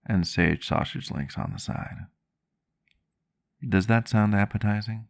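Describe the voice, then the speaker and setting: Gentle vampire doctor played by a man, bottomless bass, soothing and courteous, close dry ASMR recording. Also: vocal fry, no echo, exaggerated voice. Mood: fond, cheerful. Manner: soft-spoken, formal wording, reassuring. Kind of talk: roleplay ASMR, soft intimate acting. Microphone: close microphone, dry sound.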